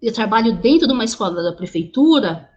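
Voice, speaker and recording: A woman interpreting sign language into speech over a video call, halting, with many restarts and repeated words. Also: a little high, lively intonation, darkish tone, muffled recording. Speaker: sign language interpreter voicing a deaf teacher.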